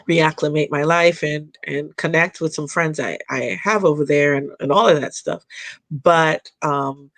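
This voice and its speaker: A woman guest in a casual podcast conversation, speaking in a thoughtful, halting way with frequent fillers and restarts. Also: low-pitched, no room sound.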